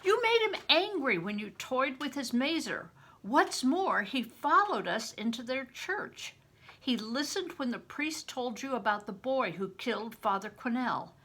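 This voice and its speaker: A woman's voice: high voice, big pitch swings, measured pace, a bit bright, pitched above the performer's own voice, heavily acted. Woman reading as an anxious, watchful young boy, scratchy voice. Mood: urgent. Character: anxious, watchful young boy narrating his medieval adventure.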